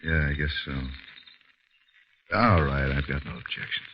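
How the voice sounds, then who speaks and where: A terse, cynical schemer in a 1940s radio noir. His voice is low and dry, on a muffled vintage recording with faint hiss. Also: measured pace. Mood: guarded, cynical. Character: curt, cynical, broke man, a scheming heel.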